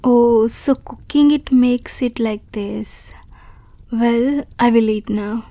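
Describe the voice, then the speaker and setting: A bashful young wolf creature, played by a woman, talks slowly and gently in a raised, mellow voice. She is polite and hesitant and often stumbles over her words. The sound is close and dry. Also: fairly high pitch, dry recording. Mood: awestruck. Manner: soft, polite and hesitant, with stammers. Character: shy grateful young female wolf creature. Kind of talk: audio roleplay.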